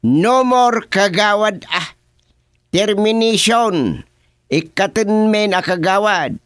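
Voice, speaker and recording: A dismissive, officious village chairman in an Ilocano radio comedy. His voice sits fairly high for a man and comes in slow, scolding phrases with an Ilocano Filipino accent. The sound is dry and close. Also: expressive, fairly bright tone, no echo, full character voice. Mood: stern, scolding. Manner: scolding, mixes in English, repeats words for emphasis. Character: stern, dismissive barangay village chairman, comic.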